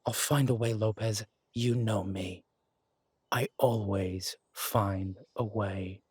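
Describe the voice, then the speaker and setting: A man playing a calm, confident tough guy who reassures his friends in an audio drama. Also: on the low side, slow pace, breathy edge, no room sound, clean studio sound. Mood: assured. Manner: calm, self-assured, reassuring. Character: confident easygoing adult man, hard to kill.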